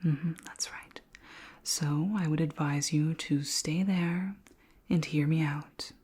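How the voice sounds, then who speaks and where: A woman voicing a curious, gently commanding alien queen in a soft, measured, formal whisper for an ASMR roleplay. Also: deep voice, fairly even intonation, slightly rough, full character voice. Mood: calm, authoritative.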